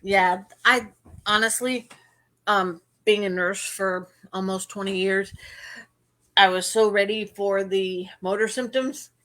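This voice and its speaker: A woman's voice: medium pitch, fairly even intonation, slow, fairly bright tone. A middle-aged woman co-hosting a podcast, talking in earnest, run-on explanations in casual chat. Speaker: podcast co-host.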